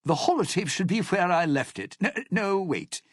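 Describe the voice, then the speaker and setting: An actor playing a cynical, self-serving lounge singer in a video game, with a raspy, gravelly voice and a wry, theatrical, menacing delivery. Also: male voice, high-pitched, sing-song, normal speed, no echo, studio quality. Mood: agitated, scheming. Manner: wry, theatrical, hesitant muttering turning to threats. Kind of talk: video game character dialogue.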